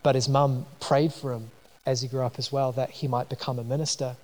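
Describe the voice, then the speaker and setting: A man's voice: medium pitch, flat delivery, a little fast, darkish tone. A man from New Zealand with a New Zealand accent, preaching a sermon in a conversational way, with many fillers and restarts. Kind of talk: sermon with personal story. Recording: long hall reverb.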